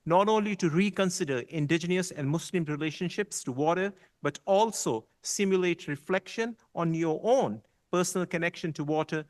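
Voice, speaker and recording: A man, a university official, giving a formal prepared speech at an event, reading smoothly. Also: a little high. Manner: reads smoothly, stumbles when ad-libbing.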